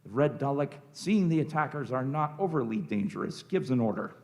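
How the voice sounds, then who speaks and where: A male narrator reads scripted stage action for a radio drama. His voice is medium in pitch with a strong creaky, gravelly edge. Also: animated, a little fast.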